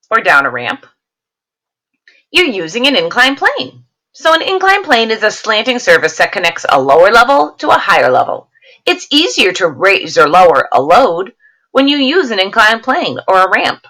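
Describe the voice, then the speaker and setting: A woman's voice: mid-range voice, pushed and bright. A woman teacher reading a science lesson aloud, explaining it to students and checking in with questions.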